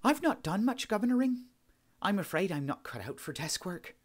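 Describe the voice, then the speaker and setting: A man's voice: high voice, sing-song, moderate pace, voice pushed up. A man voicing a blunt, friendly, informal woman adventurer in an audiobook. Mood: relieved. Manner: informal, plain-spoken.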